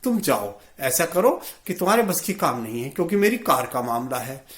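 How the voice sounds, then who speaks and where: A man playing a flustered, excitable young city man in a moral story, exclaiming in an agitated way. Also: medium pitch, moderate pace, close to an everyday voice. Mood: exasperated. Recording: roomy, echoey sound.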